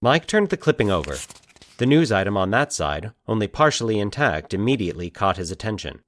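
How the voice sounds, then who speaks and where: A man narrating a scripted, eerie fiction story as an expressive storyteller. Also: fairly low pitch, a little fast.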